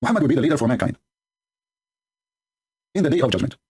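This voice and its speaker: A man's voice: medium pitch, sing-song, quick pace, darkish tone, slightly rough. A man whose first language is Arabic, giving sarcastic commentary on scripture in broken English. The recording is sped up to double speed. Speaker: religious polemic commentator. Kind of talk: commentary reading and mocking scripture.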